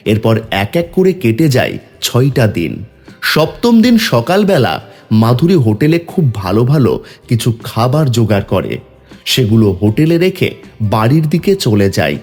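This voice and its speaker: Animated male storyteller with lively intonation. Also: mid-range voice. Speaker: narrator. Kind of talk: scripted cartoon story narration. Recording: music behind the voice.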